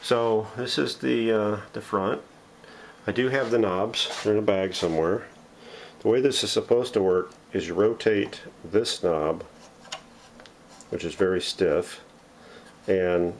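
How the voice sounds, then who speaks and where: A man's voice: low-pitched, a little slow, a little hiss or hum. A man hosting a casual, unscripted workshop show-and-tell in a folksy, self-deprecating way, recorded indoors at home on a camera mic. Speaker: host of a vintage radio restoration channel.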